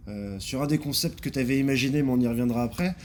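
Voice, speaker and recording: A man's voice: mid-range voice, fast talker, a little hiss or hum. A man hosting an interview in a casual, informal way, with many fillers and frequent short acknowledgments. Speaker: interview host.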